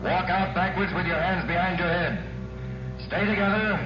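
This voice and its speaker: An actor playing a commanding police chief who gives terse orders in a 1940s radio police drama. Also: male voice, high voice, fairly even intonation, normal speed, lightly acted. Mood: loud, urgent. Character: commanding male police chief leading a stakeout. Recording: muffled vintage radio recording with music.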